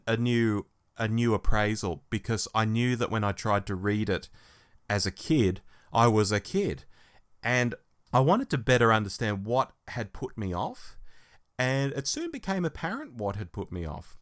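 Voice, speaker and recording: A man's voice: mid-range voice, sing-song, normal speed. A middle-aged Australian man co-hosting a podcast in a casual, conversational review style. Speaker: podcast co-host.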